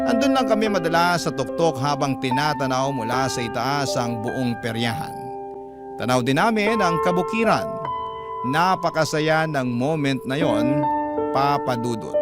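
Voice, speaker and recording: A male narrator reads a love story letter in the first person, with a heartfelt, classic radio-program delivery. His voice sits at a middle pitch. A guitar plays clearly behind him the whole time. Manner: heartfelt, addressing listeners directly. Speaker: radio drama host and narrator. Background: guitar music.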